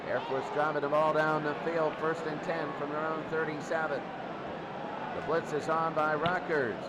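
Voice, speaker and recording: A man's voice: on the high side, steady tone, measured pace. A man doing live American football play-by-play as the announcer, in short clipped bursts that often repeat. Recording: very noisy ambient amateur recording.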